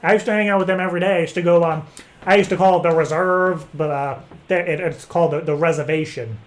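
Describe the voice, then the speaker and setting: A man making a prank phone call, rambling in an earnest act with hesitant, halting phrasing. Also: fairly high pitch, steady tone. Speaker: prank caller. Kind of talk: improvised phone prank chat.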